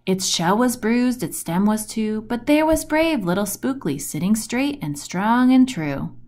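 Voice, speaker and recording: A woman reading a rhyming children's picture book aloud in an expressive, deliberate storytelling manner, stressing the rhymes and action words. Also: fairly high pitch. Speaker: storybook reader.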